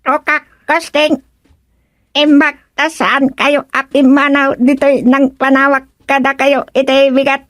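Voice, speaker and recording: A woman acting a sly magical figure in a comedic radio drama, deliberate and given to frog croaks. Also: high voice, level tone, a bit bright, no echo, clean studio sound. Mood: teasing.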